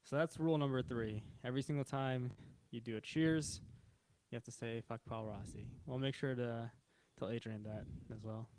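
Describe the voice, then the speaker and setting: A man born in China whose first language is Mandarin, co-hosting a podcast in relaxed, profane banter. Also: mid-range voice, moderate pace, no room sound, poor audio. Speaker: podcast co-host. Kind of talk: casual podcast chat.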